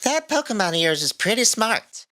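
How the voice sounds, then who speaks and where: A woman's voice: mid-range voice, big pitch swings, moderate pace, sharp tone. A woman voicing a cocky, teasing teenage boy with smug, good-natured sarcasm in a fan fiction reading. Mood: casual.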